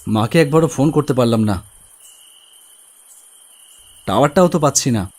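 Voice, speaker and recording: A man narrating a scripted ghost story in the first person, with an expressive, suspenseful delivery. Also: medium pitch, moderate pace. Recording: music bed under the voice. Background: background music.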